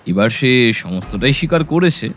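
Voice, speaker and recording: A man's voice: mid-range voice, sing-song, normal speed, fairly bright tone. A man playing a shrewd, confident detective in an audio story, laying out accusations in a probing, methodical way. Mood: assured explaining. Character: shrewd, confident male detective confronting a suspect. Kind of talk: Bengali audio story acting. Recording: music bed under voice.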